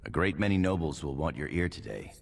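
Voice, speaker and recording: An actor playing a gruff, plain-spoken male dwarf warrior, a loyal companion, with a raspy voice in a video game. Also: extremely low pitch, expressive, a little fast, long reverb tail, exaggerated voice. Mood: eager. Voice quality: gravelly, raspy.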